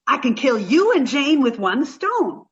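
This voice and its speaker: A woman's voice: high-pitched, sing-song, unhurried, lo-fi sound. An actress playing a sweet, naive, scatterbrained young girlfriend in 1940s radio sitcom style, doting and earnest. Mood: eager.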